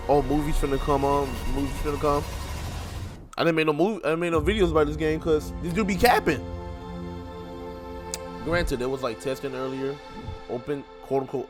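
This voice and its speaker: A man in the middle of a casual gaming reaction, with a medium-pitched voice and faint music behind him. He talks in slang, blurting short exclamations and repeating phrases as he comments. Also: brisk. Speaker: gaming video creator reacting to a trailer.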